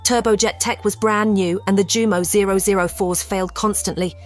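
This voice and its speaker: Woman playing a dry, deadpan, proud war machine. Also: medium pitch, a little fast, soft background music, close to an everyday voice. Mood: somber. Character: proud, dry-witted female personified WWII jet fighter. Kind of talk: scripted audio drama dialogue.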